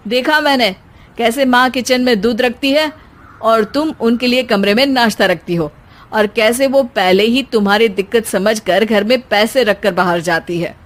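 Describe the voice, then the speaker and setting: A woman's voice: a little high, close to an everyday voice. A woman voicing an exasperated young married son in a cartoon, weary, firm and sarcastic. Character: exasperated young married son, caught between two women.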